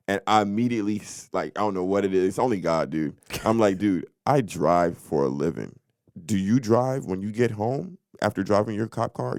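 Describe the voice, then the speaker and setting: A young man with a very low voice tells stories on a casual podcast. He talks loosely and brings the scene alive by acting out what people said. The sound is close and dry. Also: dry recording. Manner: animated storyteller, acts out quotes. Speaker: podcast co-host. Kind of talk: casual storytelling chat.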